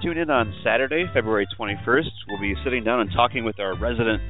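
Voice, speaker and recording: A man's voice: medium pitch, fast talker, narrow, tinny audio, soft background music. A man hosting a podcast in a casual, chatty sports style. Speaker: podcast host.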